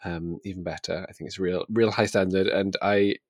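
A man's voice: deep voice, fairly even intonation, moderate pace, dry recording, studio quality. A man co-hosting a casual book chat in a chatty, hesitant, understated way. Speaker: podcast co-host.

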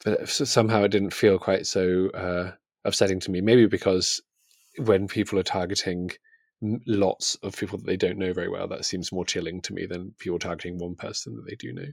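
A man with a low-pitched voice talks as co-host of an informal book discussion, recorded dry and without room sound. He is tentative, qualifying his opinions and letting sentences trail away. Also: flat delivery, a little fast, vocal fry, slightly rough, no room sound. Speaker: podcast co-host.

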